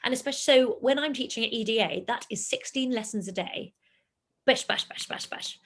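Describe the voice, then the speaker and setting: A woman, a singing teacher, talking in a relaxed interview and acting out her pupils' lines. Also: on the high side, a little fast. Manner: animated, acts out pupils in quoted voices. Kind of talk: casual interview chat.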